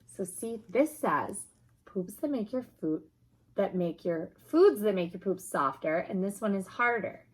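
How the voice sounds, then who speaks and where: Slow, animated woman reading to children. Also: mid-range voice, husky, low-quality recording. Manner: explanatory, expressive, addressing young children. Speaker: children's story reader. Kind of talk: picture book read aloud with simple asides to children.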